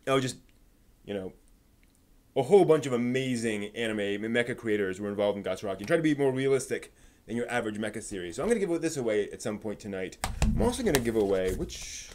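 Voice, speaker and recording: A man's voice: medium pitch, animated, brisk, darkish tone. A man hosting a live stream in a casual, chatty style, rambling and thinking aloud as he goes. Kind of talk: casual live stream chat about anime news and giveaways.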